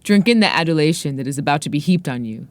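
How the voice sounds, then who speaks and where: A woman's voice: sits low, lively intonation, fast talker, dry recording. Storybook role, acted by a female narrator: commanding, glamorous woman propagandist, grandiose and fervent, dry close sound. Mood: fervent, rousing. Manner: grandiose, exhorting. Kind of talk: dramatic audiobook-style reading.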